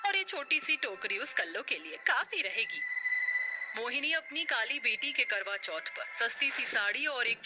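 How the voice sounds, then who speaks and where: A woman's voice: on the high side, low-quality recording. A woman narrating a scripted story. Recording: loud music under the voice.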